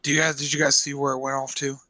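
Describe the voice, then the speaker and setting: A man's voice: mid-range voice, completely flat delivery, very fast, pushed and bright, dry recording. A man talking casually as a roleplay player, narrating his character's actions and lines in an informal, exasperated way. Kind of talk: casual in-game narration and dialogue. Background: music.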